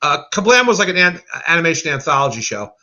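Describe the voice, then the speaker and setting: A man's voice: fairly high pitch, quick pace, muffled recording. An American man chatting casually as a guest, telling anecdotes and acting out the quotes. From United States. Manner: animated storyteller who acts out quotes. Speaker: guest, animator.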